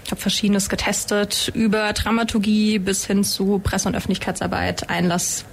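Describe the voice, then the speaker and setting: Relaxed, upbeat woman in her thirties. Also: medium pitch, moderate pace, gravelly, slight background noise. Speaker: head of theater education. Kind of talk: casual radio chat.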